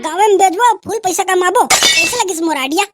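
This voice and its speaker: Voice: very high-pitched, animated, fairly quick, very noisy. A performer voicing a bossy, scheming village lad in a comedy cartoon, explaining things in a Chhattisgarhi accent. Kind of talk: comedy cartoon voice acting in rural dialect.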